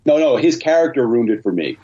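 A man with a husky voice chatting casually as a podcast guest over a dull-sounding internet call. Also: mid-range voice, very animated, brisk, warm tone. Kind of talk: casual chat. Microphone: Skype call.

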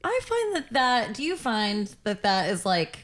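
A woman co-hosting a casual chat, quick to agree and laugh. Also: medium pitch, wildly swooping pitch. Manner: quick affirming "yeah, yeah, yeah", giggly. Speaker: podcast co-host.

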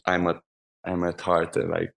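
A man's voice: low voice, monotone, normal speed, creaky. A man from Romania with a Romanian accent, an artist speaking English in a casual chat, nervous and hesitant. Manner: nervous, hesitant, self-conscious. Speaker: artist guest.

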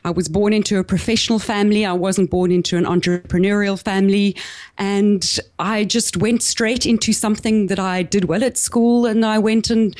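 A woman's voice: medium pitch, steady tone, moderate pace, darkish tone, dry recording. A woman in her forties with a South African accent, speaking as a podcast guest in an articulate, reflective and upbeat way. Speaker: podcast guest, business coach. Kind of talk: interview conversation.

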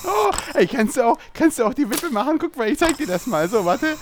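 A man's voice: extremely high pitch, sing-song, brisk, background noise. A man playing a timid, nerdy schoolboy in an improvised comedy audio play, stammering and rambling hesitantly. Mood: chatty, sheepish. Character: timid, nerdy Pokémon-mad schoolboy, eager to please.

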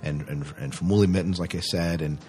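A man's voice: low-pitched, fairly even intonation, quick pace, a little mellow. A man hosting a podcast in casual chat, rambling along in a jokey way. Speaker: podcast host.

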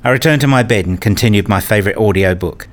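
A man's voice: on the low side, fast talker, close to an everyday voice. A man playing a brooding, rule-obsessed husband and father in a radio play, speaking in an introspective, pedantic way. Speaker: narrator and lead character. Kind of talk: first-person radio play narration and dialogue.

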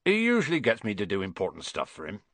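A man voicing a gruff, good-natured giant from a storybook, proud and loyal, with folksy clipped speech. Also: on the low side, wildly swooping pitch, fast, fairly bright tone, no room sound, heavily acted. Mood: reassuring, proud. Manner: folksy, clipped casual speech, grunting and sleepy at first.

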